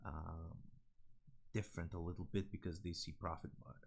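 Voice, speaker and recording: A man's voice: sits low, fairly even intonation, moderate pace, creaky, husky. A young man from Serbia with a Serbian accent, chatting casually and bluntly on a stream, swearing freely with lots of fillers. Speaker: vtuber streamer. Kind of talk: casual rambling stream chat.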